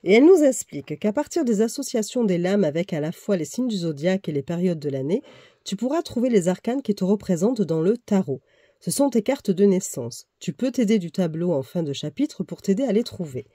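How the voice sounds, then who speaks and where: A woman with a cold talks through a tarot deck in a relaxed, chatty way, her voice a little nasal from congestion. Her tone is mellow and dark, and the sound is close and dry, with no room around it. She stumbles and corrects herself now and then between short read-aloud passages. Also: mid-range voice, fairly quick, slightly rough, no echo. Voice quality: slightly nasal. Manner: chatty, hesitant with fillers and self-corrections. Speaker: tarot reviewer. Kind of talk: casual book review, reading passages aloud.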